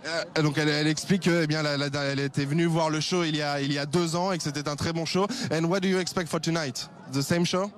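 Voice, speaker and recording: A man's voice: on the high side, fairly even intonation, fast talker, hardly any pauses. A man reporting live from the street, interviewing tourists and translating their answers. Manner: translates for guests, some stumbles. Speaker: field reporter. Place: outdoors on a busy avenue.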